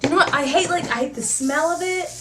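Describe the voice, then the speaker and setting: Teenage girl, casual vlog chat, giggly, rambling, slightly high, faint noise behind. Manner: giggly, rambling, fast, with many "like"s. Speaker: vlogger.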